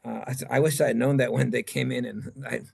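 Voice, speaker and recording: Creaky-voiced man answering audience questions. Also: mid-range voice, steady tone, fairly quick, a little mellow. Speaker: presenter. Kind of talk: conference talk question-and-answer session.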